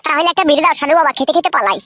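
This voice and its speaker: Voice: sits high, lively intonation, very fast, bright, forceful tone, no room sound. A performer voicing a cheeky, whiny young male troublemaker in a comic cartoon, with melodramatic and crude slangy delivery.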